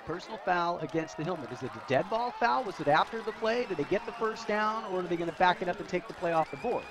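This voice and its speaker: American man, folksy football analyst, fairly high voice, fast talker, stadium crowd behind. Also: lively intonation. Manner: folksy, conversational, musing aloud with rhetorical questions. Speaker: radio colour commentator. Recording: stadium broadcast with crowd noise.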